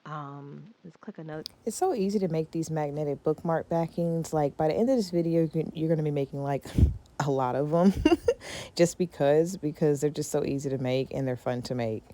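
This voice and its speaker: A woman who runs a small craft business, talking through a design tutorial in a relaxed, chatty way with lots of filler words. She sounds congested from a cold. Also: low-pitched, normal speed. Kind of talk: casual screen-recorded how-to walkthrough.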